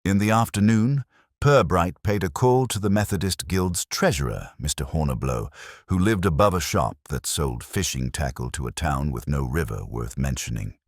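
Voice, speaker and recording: Male narrator for a mystery tale. Extremely deep, measured and literary, recorded very dry. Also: expressive, dry recording. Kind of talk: scripted mystery story narration.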